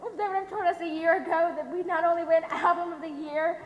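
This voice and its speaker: A woman's voice: very high voice, fairly even intonation, quick pace, highs cut off. A teenage actress on stage playing a brash, pushy female pop star. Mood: excited, admiring. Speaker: actor in a high school play. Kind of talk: live amateur stage acting. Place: theater stage. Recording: echoey hall stage recording.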